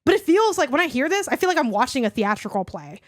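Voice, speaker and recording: A young woman with a hoarse voice talks through her picks in a loose, rambling way, leaning on "like" again and again. Her voice sits a little high, and the recording is close and dry with no room sound. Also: very animated, fast, dry recording. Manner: rambling, heavy use of "like". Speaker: podcast co-host. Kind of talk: casual chat.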